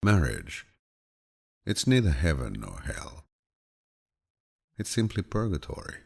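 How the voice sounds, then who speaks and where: This man has an exceptionally deep voice with a heavy gravelly creak and a husky grain. He narrates like a calm grandfather telling stories, measured and soothing. His intonation moves expressively as he reads each saying. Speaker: audiobook narrator. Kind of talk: calm, measured audiobook reading of quotations.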